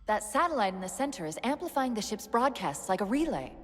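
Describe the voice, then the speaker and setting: A woman playing a quick-witted, loyal female AI companion in a video game, articulate, technical and briskly expressive. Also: a little high. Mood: analytical. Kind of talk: video game cutscene acting.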